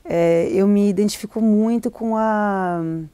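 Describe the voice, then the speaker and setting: A woman's voice: mid-range voice, unhurried, mellow, smooth, no echo. A middle-aged woman, an author raised in Argentina, answering interview questions in a hesitant, reflective way with many restarts and fillers. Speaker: novelist, interview guest.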